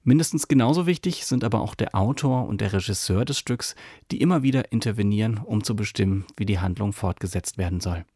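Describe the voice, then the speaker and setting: A male radio announcer giving a measured, formal, scripted introduction to a radio play. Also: on the low side, dark tone, husky, no room sound, clean studio sound.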